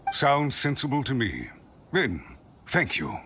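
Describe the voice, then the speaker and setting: A formal clan official speaks courteously with very wide swings of pitch. A faint hiss lies behind. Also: male voice, huge pitch swings, slow pace. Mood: polite. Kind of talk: video game voice acting.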